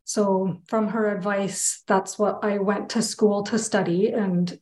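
A Canadian woman speaks as a guest in a casual, candid interview. Her voice sits at an average pitch, and the recording is noticeably roomy and echoey. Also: steady tone, takes it slowly, a little mellow. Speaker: interview guest, children's book illustrator.

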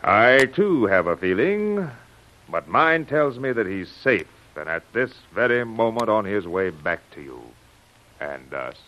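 A kindly colonel in an old radio serial, really low voice, avuncular, dull vintage sound. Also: male voice, big pitch swings, unhurried. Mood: soothing, gallant. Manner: avuncular, soothing. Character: reassuring older military colonel, fatherly and gallant. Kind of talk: old-time radio drama acting. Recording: muffled vintage radio recording.

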